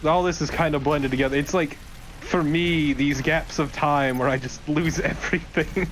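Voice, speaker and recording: A man's voice: a little high, fairly even intonation, moderate pace, fairly bright tone, slight background noise. A man co-hosting a let's play, chatting casually with a wry, sweary manner. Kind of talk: casual chat over gameplay.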